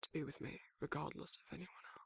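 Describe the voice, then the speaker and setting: A man playing a protective, tender werewolf lover in intimate ASMR roleplay, murmuring softly and informally with a husky, breathy voice. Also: fast talker, no echo, studio quality, natural voice. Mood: soft, earnest.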